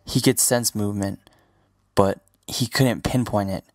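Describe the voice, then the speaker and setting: A man's voice: lowish voice, fairly even intonation, unhurried, a little hiss or hum. A man reading scripted story narration as the narrator of an audio drama. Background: music with guitar.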